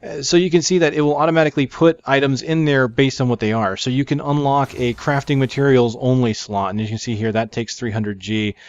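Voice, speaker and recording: A man's voice: medium pitch, steady tone, fairly quick, hardly any pauses, smooth, no echo. A man hosting a gaming video, explaining things casually and in an upbeat way as he plays. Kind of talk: casual game preview commentary. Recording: dry, slightly dull microphone.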